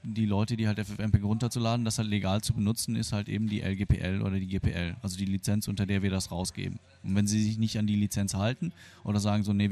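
A man's voice: fairly low pitch, warm tone. A man who grew up in Germany, explaining things in a colloquial, hesitant way as an interviewee. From born Argentine, grew up in Germany. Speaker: interviewee, free software developer. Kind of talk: spontaneous interview answers, explanatory.

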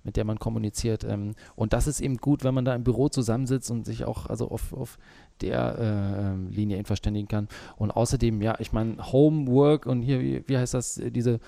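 A man's voice: medium pitch, fairly even intonation, fairly quick, gravelly, hardly any pauses, mellow. A man as the interview guest, a small business owner, talking through his work in a hesitant way with many fillers. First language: German. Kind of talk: conversational interview.

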